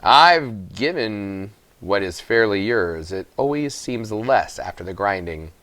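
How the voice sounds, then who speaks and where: A man voicing a fat, greedy miller in a children's storybook, oily, patronising and quietly menacing. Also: fairly low pitch, lively intonation, slow. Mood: oily, placating. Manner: smooth, patronising, veiled menace. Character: fat, greedy male miller, smugly condescending.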